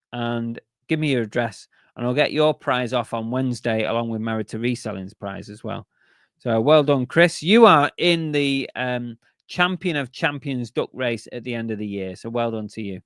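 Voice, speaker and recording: A man's voice: medium pitch, normal speed, a bit bright, clean tone, no room sound, studio quality. Chatty man, voice going. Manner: chatty, rambling, with many fillers and restarts. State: losing his voice. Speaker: live stream host. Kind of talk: casual live stream chat.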